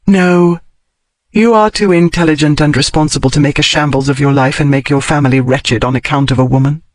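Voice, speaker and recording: A man putting on a sharp-tongued period lady. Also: steady tone, fairly quick, no echo, studio quality, natural voice. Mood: brisk. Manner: crisp, formal period speech rising in pitch. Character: blunt, logical Victorian-era lady, wry and emotional. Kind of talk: audiobook character reading.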